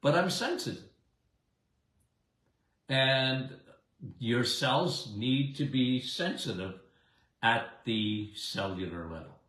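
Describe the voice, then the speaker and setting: A man's voice: medium pitch, expressive, takes it slowly, pauses often, a bit bright. A middle-aged man hosting a casual live health talk, with a big voice and a chatty, rambling way of speaking, often checking in with "okay?" and "guys". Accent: Canadian. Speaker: health show host. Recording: roomy echo.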